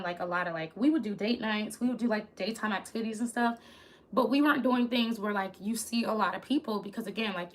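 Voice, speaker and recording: Young woman, crackly voice, candid chatty storyteller, mid pitch. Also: a bit bright. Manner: chatty, candid, talks to viewers as "y'all". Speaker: YouTuber telling a story. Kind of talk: casual storytime vlog.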